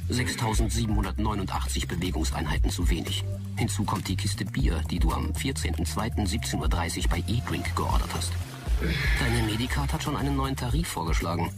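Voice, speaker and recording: A man plays a personal AI in a science fiction audio drama, low-pitched and dryly efficient. It recites figures precisely and nags with faint cheek. The voice sits a little back from the mic, under prominent music. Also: animated, a little fast, distant mic, natural voice. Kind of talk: sci-fi audio drama acting.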